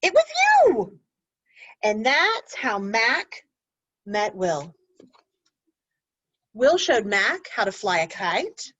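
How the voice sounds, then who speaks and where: A woman teacher reading a picture book aloud to children, pausing to explain words and ask gentle questions. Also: mid-range voice, sing-song, husky, no echo, dull audio.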